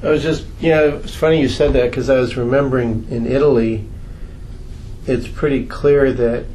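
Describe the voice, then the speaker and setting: A man's voice: medium pitch, fairly even intonation, normal speed, dark tone, slight background noise, rough recording. A man at a committee meeting telling a story, rambling and searching for words. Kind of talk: casual meeting discussion. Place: meeting room.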